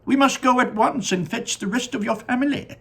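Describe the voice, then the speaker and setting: Eccentric elderly male candy maker for a storybook, man acting: high, husky, excitable, drawn-out emphasis. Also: heavily acted. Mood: urgent. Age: older.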